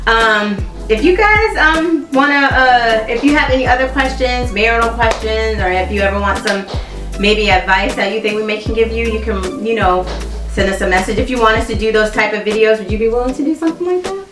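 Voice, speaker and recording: A woman's voice: a little high, fairly quick, recorded from a distance. Woman in her fifties, chatty vlogger, heard from off-mic, echoey room, music behind. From Virginia, USA. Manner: chatty, laughs often, talks to viewers as y'all. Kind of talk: casual couples Q&A chat.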